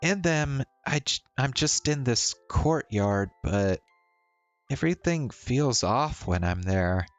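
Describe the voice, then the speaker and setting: A man's voice: mid-range voice, expressive, slow, no room sound, faint music behind, voice pushed up. A man voicing a tired, teasing and troubled young woman in a visual novel, with a casual, hesitant delivery. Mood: weary, uneasy. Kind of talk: visual novel dialogue read-aloud.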